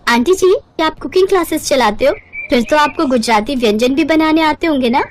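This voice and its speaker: A woman voicing a polite young newlywed in an animated storybook tale, respectful, earnest and eager. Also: high-pitched, fairly quick, a little hiss or hum, pitched above the performer's own voice, lightly acted. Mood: eager, hopeful.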